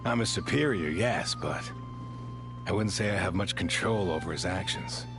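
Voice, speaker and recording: Tired military sergeant in a game, creaky voice, matter-of-fact, music behind. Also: male voice, deep voice, moderate pace. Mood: frustrated. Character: weary, dutiful male military sergeant major, frank. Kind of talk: video game voice acting.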